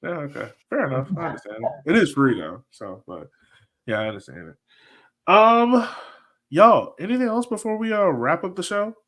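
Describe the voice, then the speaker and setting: A man's voice: on the high side, sing-song, moderate pace, a bit bright. A man hosting a casual sports podcast chat, easygoing and slangy, often repeating phrases for emphasis. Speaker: podcast host.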